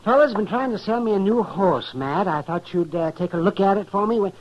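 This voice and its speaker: An actor playing a fussy, halting town doctor in a 1950s radio western. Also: male voice, sits high, animated. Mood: hesitant, friendly. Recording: dull, faintly noisy 1950s radio recording.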